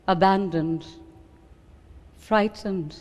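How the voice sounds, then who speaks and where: Slow Indian woman reading in a hall. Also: medium pitch. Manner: deliberate, expressive storytelling delivery. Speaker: reader at a book event. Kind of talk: dramatic reading of a memoir. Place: live reading in an event hall. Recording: reverberant hall.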